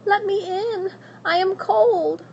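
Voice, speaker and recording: High, petulant young princess. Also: female voice, steady tone, slow, vocal fry, darkish tone, muffled recording, slight background noise, heavily acted. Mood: pleading. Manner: proud, insistent. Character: haughty, spoiled young princess, petulant and demanding.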